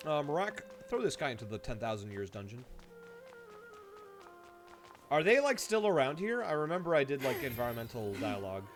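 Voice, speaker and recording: Male streamer, lively intonation, casual and jokey off-the-cuff game commentary over soft music. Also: medium pitch, a little fast, long pauses. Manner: joking, off-the-cuff.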